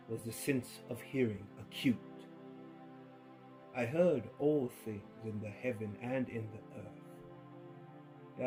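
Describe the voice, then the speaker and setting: A man reads a horror tale slowly and deliberately, in a dark, mellow voice. He swings from near-whispers to sudden loud outbursts, with an unhinged edge. Faint violin music plays behind him. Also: mid-range voice. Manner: unhinged, with creepy laughs. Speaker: narrator. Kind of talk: dramatic horror story reading.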